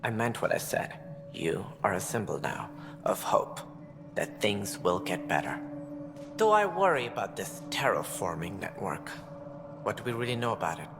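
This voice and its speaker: An actor playing a self-important alien station director in a video game, with formal, politician-like phrasing. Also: male voice, lowish voice, very animated, moderate pace, music in the background. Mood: grave, measured. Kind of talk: video game cutscene acting. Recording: echoey hall with clear background noise.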